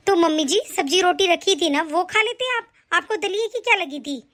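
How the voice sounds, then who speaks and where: A woman's voice: very high voice, a bit bright. A woman doing a bossy, nagging aunt. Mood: complaining. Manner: scolding, nagging, quick. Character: bossy scolding aunt and daughter-in-law, middle-aged. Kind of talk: comic family skit acting.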